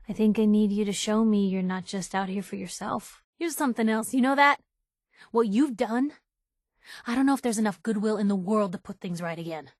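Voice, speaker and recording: A woman's voice: medium pitch, moderate pace, no echo, studio quality, close to an everyday voice. An actress voicing a plucky, principled young woman reporter, a video game companion with sassy, earnest, slangy banter. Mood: disappointed, scolding.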